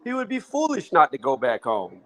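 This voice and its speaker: Relaxed conversational man. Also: a little high, brisk, poor audio. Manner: relaxed, conversational, reasoning aloud. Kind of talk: casual boxing talk.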